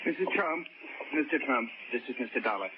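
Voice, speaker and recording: An actor playing a curt, suspicious, fussy household servant in a 1940s radio drama. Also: male voice, medium pitch, lively intonation, fairly quick, loud music behind the voice, lightly acted. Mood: grudging, curt. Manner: curt, agitated, inhospitable. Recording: muffled, very noisy vintage radio recording.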